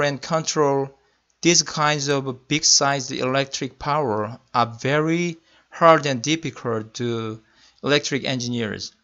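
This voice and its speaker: A man with a Korean accent, a senior electrical engineering manager, giving a slide-presentation lecture in careful, deliberate non-native English. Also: medium pitch, a bit bright. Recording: muffled lo-fi voice-over.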